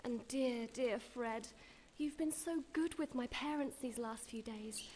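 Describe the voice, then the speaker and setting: A woman playing a highish, coquettish young lady, noisy ambience. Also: a little slow, darkish tone, natural voice. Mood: playful, gushing.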